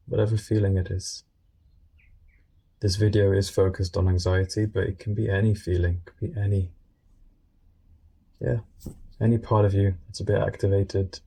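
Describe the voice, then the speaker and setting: A man guiding a meditation in a gentle, unscripted way, speaking informally and soothingly in short phrases with pauses. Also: fairly low pitch, vocal fry, slightly rough. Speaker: meditation guide.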